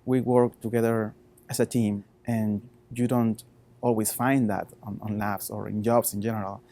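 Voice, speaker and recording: A man in his thirties with a Chilean Spanish accent, a researcher talking informally about his work in halting non-native English. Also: fairly low pitch, little pitch movement, mellow. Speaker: postdoctoral researcher.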